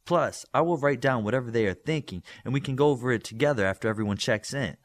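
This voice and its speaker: Audiobook role: teenage telepath girl, read by a man, brisk and teasing, with lively swings in pitch. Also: close to an everyday voice. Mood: brisk, scheming.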